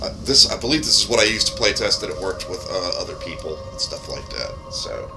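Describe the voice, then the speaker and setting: A man's voice: sits low, expressive, fairly quick. Casual man commentating over background music. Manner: rambling, explanatory, casually profane. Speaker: game streamer and modder.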